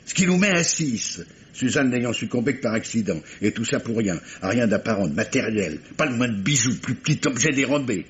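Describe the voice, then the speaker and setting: An actor playing an insistent, sardonic police commissioner who questions methodically, with stammered repetitions, in the style of a 1950s French radio crime drama. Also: male voice, medium pitch, expressive, normal speed, natural voice. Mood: methodical, pressing. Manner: probing, stammering repetitions, ironic. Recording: muffled, noisy old radio recording.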